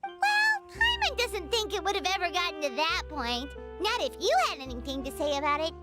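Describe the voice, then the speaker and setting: A woman voicing a chirpy, excitable tiny floating fairy companion in a game, who talks about herself by name. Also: very high voice, normal speed, loud music behind the voice. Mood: curious.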